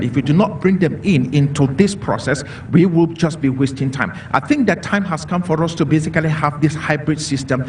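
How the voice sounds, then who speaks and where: A man from Cameroon speaking as a panelist in a discussion, earnest and repetitive, with many fillers. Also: fairly high pitch. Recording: distant mic with faint noise.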